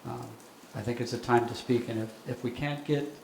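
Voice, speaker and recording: An older man, a bishop, speaking thoughtfully at a lecture with many pauses and fillers. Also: medium pitch, steady tone, vocal fry, a little mellow. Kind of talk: lecture and Q&A remarks. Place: lecture hall. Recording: echoey hall with background noise.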